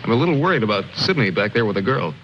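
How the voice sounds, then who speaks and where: An actor playing a level-headed military officer, measured, commanding and wry, in an old film style. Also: male voice, on the low side, big pitch swings. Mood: wry, concerned. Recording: muffled old film audio, faint noise.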